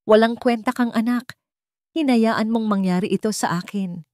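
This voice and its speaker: A woman's voice: a little high, normal speed, a little mellow, dry recording, clean studio sound, voice pushed up, close to an everyday voice. A woman narrator voicing a bitter mother who scornfully blames her grown son in an audiobook drama. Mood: furious, blaming. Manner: scornful, blaming.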